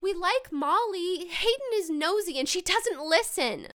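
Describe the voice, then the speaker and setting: High, lively American young big sister, played by a woman. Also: measured pace, heavily acted. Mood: indignant. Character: protective, quick-tempered young big sister, deeply devoted. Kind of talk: modern audio drama acting.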